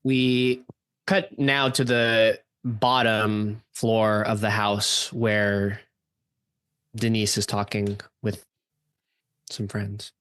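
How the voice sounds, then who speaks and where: A man's voice: lowish voice, little pitch movement, extremely slow, a bit bright, clear, resonant tone, dry recording. A man setting scenes as narrator of an improvised radio play, describing the action as it unfolds.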